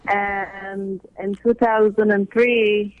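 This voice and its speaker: A man's voice: high-pitched, little pitch movement, drawn-out words, no room sound. A man from Afghanistan who was raised in the United States, earnestly explaining his women's aid organization in a radio interview, often restarting his sentences. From born in Afghanistan, raised in the United States. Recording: dull lo-fi audio.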